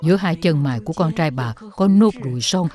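An actress playing a frail old beggar woman in an animated drama, humble, plaintive and sorrowful. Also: deep voice, a little fast, faint music behind. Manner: plaintive, lamenting. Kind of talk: animated drama dub acting.

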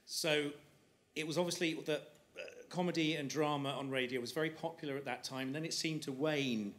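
A man's voice: a little high, steady tone, a little fast, a bit bright. A middle-aged man asking a spontaneous, somewhat roundabout question from an audience. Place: large lecture theatre.

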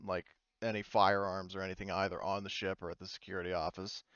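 A man running a tabletop roleplaying game as game master, narrating informally and haltingly and speaking for characters in his own voice. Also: deep voice, level tone, fairly bright tone, clean tone, no echo, muffled recording.

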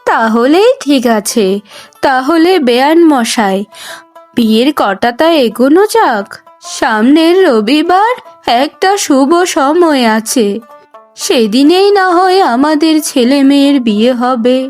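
Slow, high-voiced domineering mother-in-law. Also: lively intonation, a little mellow, faint music behind. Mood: demanding. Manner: slow, commanding, scolding. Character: greedy, domineering middle-aged mother-in-law, threatening and scolding. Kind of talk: Bengali cartoon voice acting.

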